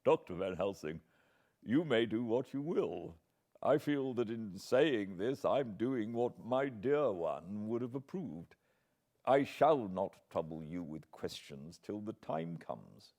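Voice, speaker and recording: A man playing a bereaved young English gentleman in an audiobook, courteous, earnest and full of feeling. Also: on the low side, expressive, slow pace, voice pushed up, heavily acted. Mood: heartfelt. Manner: earnest, polite, emotional.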